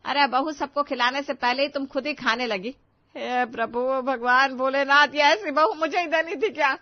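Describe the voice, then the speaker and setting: A woman's voice: high voice, normal speed, dry recording, muffled recording, pitched above the performer's own voice, close to an everyday voice. A woman voicing a domineering middle-aged Indian mother-in-law, exasperated and dramatic in a family story. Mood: loud, scolding.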